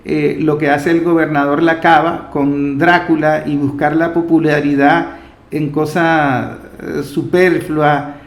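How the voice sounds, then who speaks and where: A man's voice: fairly high pitch, level tone, takes it slowly. A Venezuelan man hosting a conversational interview and sharing his own opinions as he talks. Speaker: interviewer, host. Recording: echoey, roomy sound.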